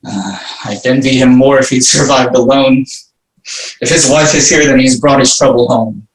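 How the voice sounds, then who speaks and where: An actor playing a sick, tormented young prince haunted by madness, weary and feverish by turns. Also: male voice, mid-range voice, normal speed, close to an everyday voice. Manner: weary and feverish, swinging into frantic raving. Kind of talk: stage play reading. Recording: roomy echo.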